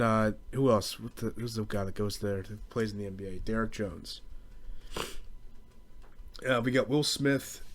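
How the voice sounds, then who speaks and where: A man's voice: on the low side, fairly bright tone. A young American man rambling through a casual, profane comedic rant as a podcast host, in slangy speech. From southeastern Pennsylvania, US.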